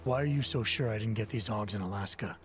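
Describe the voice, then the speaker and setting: A guarded augmented agent who answers in short, clipped lines, as a man plays him. His voice sits low. Music plays clearly behind him through the game cutscene. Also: quick pace, close to an everyday voice. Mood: terse. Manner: terse, guarded questions.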